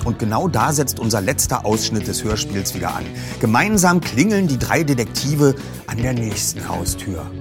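A man's voice: mid-range voice, very animated, normal speed. A man presenting an audio drama preview in an informal, partly scripted style. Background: guitar music.

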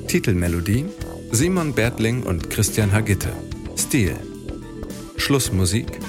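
A man reading a scripted announcement and production credits as an announcer. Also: fairly low pitch.

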